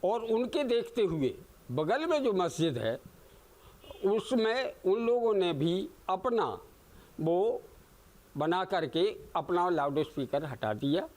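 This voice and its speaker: A man giving an unscripted local interview, halting and searching for words. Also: high voice, animated, a little mellow. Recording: faint ambient noise.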